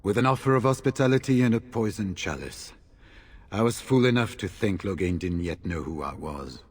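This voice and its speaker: An actor playing a weary, courteous senior warden freed from captivity, measured and formal, in a video game. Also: male voice, sits low, lively intonation, husky. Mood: grave, relieved. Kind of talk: video game cutscene acting.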